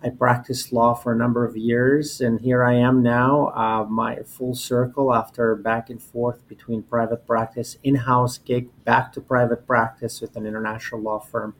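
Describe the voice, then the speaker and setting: A man in his forties from Albania, a native Albanian speaker, telling his personal story as an interview guest in a halting, repetitive way. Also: medium pitch, level tone, poor audio. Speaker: interview guest, corporate lawyer. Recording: noticeable background noise.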